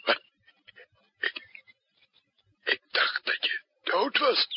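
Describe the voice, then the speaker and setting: An actor playing a bewildered traitor in hiding in a 1960s radio drama, husky and stammering. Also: male voice, whispered. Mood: shocked, faltering. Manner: halting, stammering, slow. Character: startled, bewildered tall male traitor in hiding. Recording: dull 1960s radio recording.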